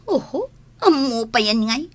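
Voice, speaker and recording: A woman playing a scornful, bossy household scold in a radio drama, nagging and sharp-tongued. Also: fairly high pitch, expressive, measured pace. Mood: scornful, accusing.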